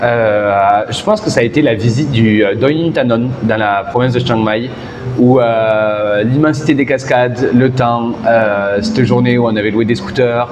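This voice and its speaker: A man's voice: medium pitch, moderate pace, background noise. Guy in his 20s from southern france, laid back, lots of umms, echoey street sound. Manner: relaxed, enthusiastic, many hesitations. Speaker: interviewee. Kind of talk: casual street interview.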